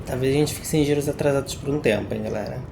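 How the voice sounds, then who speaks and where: A man's voice: medium pitch, very fast, creaky, background noise. A man with a Brazilian accent giving casual, joking, slangy commentary as he plays a game, music behind him. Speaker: gaming streamer.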